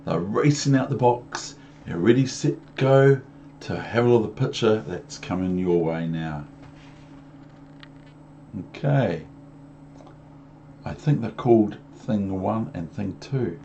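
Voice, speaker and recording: A man's voice: fairly low pitch, lively intonation, slow, long pauses, warm tone, muffled recording, slight background noise. A man with a New Zealand accent reading a storybook to young children, rambling into chatty asides and questions for the listener. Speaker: storybook reader.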